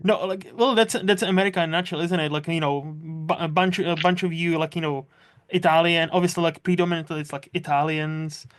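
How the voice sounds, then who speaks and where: A man's voice: fairly high pitch, steady tone, brisk, clear, resonant tone. A man with a Czech accent mixed with Scottish tones, hosting a casual podcast interview and chatting in a rambling, laughing way. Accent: Czech with Scottish mix. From Czech Republic. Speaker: podcast host. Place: lives in Scotland.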